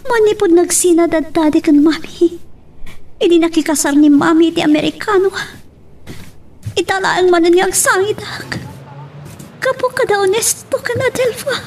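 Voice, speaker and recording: An actress playing an anxious young office secretary in love trouble in a radio drama. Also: female voice, extremely high pitch, normal speed, pauses often, darkish tone, recorded from a distance, slight background noise. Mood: upset, demanding. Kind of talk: Ilocano radio drama acting.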